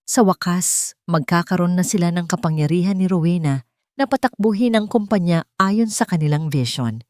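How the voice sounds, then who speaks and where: A woman narrates a dramatic story in a fairly low voice. Her delivery is scripted, measured and deliberate, with each phrase set out carefully. The sound is dry, with very little room. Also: darkish tone, no room sound.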